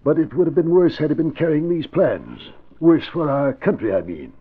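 An actor playing a dignified, earnest male doctor in 1940s radio style, with a husky voice. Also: a little high, very animated, moderate pace, heavily acted. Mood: grave, relieved. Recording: muffled, phone-like old radio recording.

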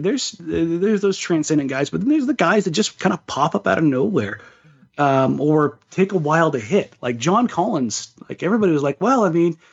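A man's voice: a little high, creaky, breathy edge. Slightly muffled man talking sports. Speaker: sports podcast co-host. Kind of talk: casual sports talk and analysis. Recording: slightly dull, lo-fi sound.

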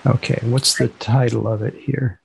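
An American man hosting a podcast, announcing and asking questions in a measured style. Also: mid-range voice, steady tone, gravelly, a little mellow, slightly rough, poor audio. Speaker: podcast host, magazine editor.

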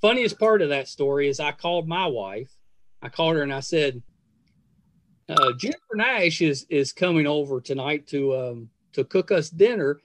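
Male podcast host, middle-aged, slightly high-pitched, effusive, chatty interview delivery, dry recording. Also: lively intonation, normal speed, a bit bright, no echo. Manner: effusive, full of praise. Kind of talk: casual conversational interview.

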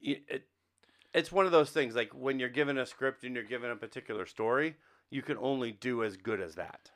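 A man's voice: medium pitch, fairly quick, fairly bright tone. A man co-hosting a podcast, chatting casually about films and games. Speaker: podcast co-host. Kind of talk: casual film and game discussion.

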